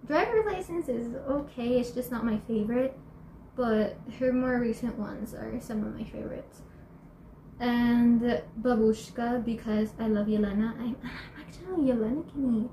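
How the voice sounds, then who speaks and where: A teenage girl chatting in a bubbly way in a casual home vlog, laughing often and filling pauses with fillers. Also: a little high, lively intonation, a little mellow, a little hiss or hum. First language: Spanish and English. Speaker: YouTuber. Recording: echoey room, faint ambience.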